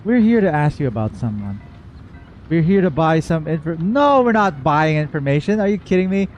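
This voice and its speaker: A man with a fairly high voice reacts casually to a game he is playing. He is playful and wry, on a slightly dull recording with faint music behind. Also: very animated, moderate pace, a little mellow. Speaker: gaming streamer reacting to a story quest. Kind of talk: casual playthrough commentary.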